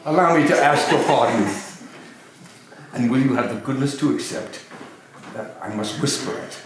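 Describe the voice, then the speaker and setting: A man's voice: medium pitch, moderate pace, close to an everyday voice. A man playing a reformed old miser, gleeful, mock-stern and generous, in an amateur stage reading with theatrical exclamations. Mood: warm, earnest. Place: live amateur reading in a reverberant room. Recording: echoey room from far off, noisy.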